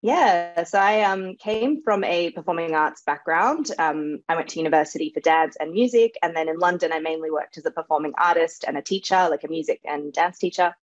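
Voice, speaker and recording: A woman's voice: on the low side, fairly quick, hardly any pauses, dry recording. Lo-fi, dry woman guest on a call. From Australia. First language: Serbo-Croatian. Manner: chatty, laughs easily, lots of fillers. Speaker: guest author. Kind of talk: casual interview chat.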